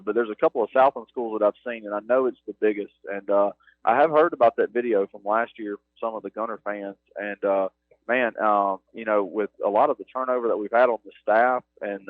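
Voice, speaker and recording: A man being interviewed in a stadium press box about running game day, his voice a touch low. He talks earnestly, circling back with lots of "you know"s. The sound is dry and thin, squeezed down like a phone line. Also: dry recording. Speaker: stadium game operations director, guest. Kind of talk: halftime radio interview. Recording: narrow phone-like radio broadcast, dry.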